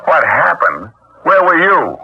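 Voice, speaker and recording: An actor playing a wry, hard-boiled private detective in a 1940s radio noir drama, with punchy delivery. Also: male voice, a little high, wildly swooping pitch, slow pace, vocal fry, breathy edge, full character voice. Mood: exasperated. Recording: dull vintage radio recording with music.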